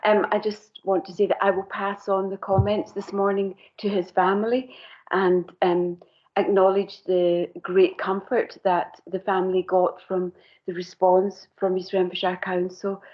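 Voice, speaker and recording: Flat-voiced woman committee member. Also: medium pitch. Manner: measured, some ums. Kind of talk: formal remarks at a council meeting. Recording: dull lo-fi meeting audio.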